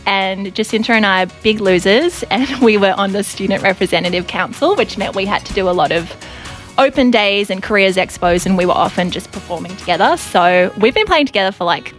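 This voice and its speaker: A woman's voice: medium pitch, fairly quick, faint music behind. Young Australian woman, musician guest, casual and self-deprecating. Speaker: band member, guest. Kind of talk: casual radio interview.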